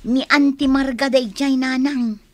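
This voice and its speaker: A Filipino woman acting in a radio drama as an excitable, complaining young village woman. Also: sits high, measured pace, no room sound. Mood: alarmed, dismayed.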